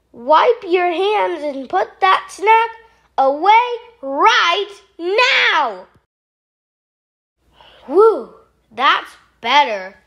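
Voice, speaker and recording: High-pitched fussy storybook frog played by a woman, theatrical, slow delivery, dramatic, easily horrified. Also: fairly bright tone, full character voice. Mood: horrified, scolding, then relieved, fussy. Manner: theatrical, exclaiming. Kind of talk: children's storybook read-aloud.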